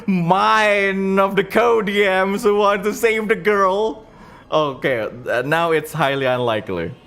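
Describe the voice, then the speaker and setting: Casting: male game master for a streamed fantasy campaign, unhurried pace, halting improvised narration with repeated phrases. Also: sits high, creaky, fairly bright tone. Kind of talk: improvised storytelling of a tabletop campaign, chatting with viewers.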